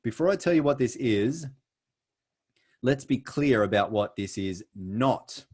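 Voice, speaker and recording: A middle-aged Australian man giving an earnest, emphatic sales presentation over a video call, with frequent pauses. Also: mid-range voice, lively intonation, darkish tone, breathy edge.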